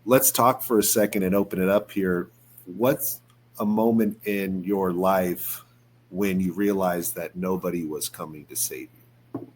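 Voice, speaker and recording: A man's voice: low-pitched, steady tone, unhurried, slight background noise. A man in his forties hosting a podcast in a conversational way. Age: 40s. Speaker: podcast host.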